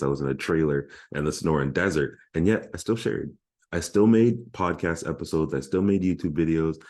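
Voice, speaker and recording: Man, life coach, deep voice, warm dark tone, heartfelt, motivational monologue. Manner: earnest, heartfelt, emphatic. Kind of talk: motivational solo talk.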